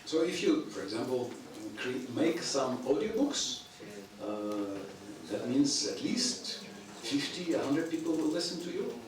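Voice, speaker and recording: A man giving a devotional lecture in English, which is not his first language, speaking haltingly with frequent throat clearing. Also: mid-range voice, big pitch swings, crowd noise. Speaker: visiting preacher. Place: temple hall. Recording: echoey temple hall, mic at a distance, audience heard.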